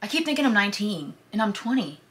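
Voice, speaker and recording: A woman's voice: mid-range voice, very animated, quick pace, a bit bright, husky. A woman of twenty chatting to her phone camera from a parked car, gushing and casual, often saying y'all. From Michigan. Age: 20s. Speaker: vlogger.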